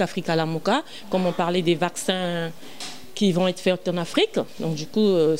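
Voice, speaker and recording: A woman's voice: fairly low pitch, a little mellow. Earnest Congolese-born woman singer. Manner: earnest, many fillers and restarts. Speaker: gospel singer guest. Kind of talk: interview answers. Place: small indoor studio set on a sofa. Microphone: handheld mic held by interviewer.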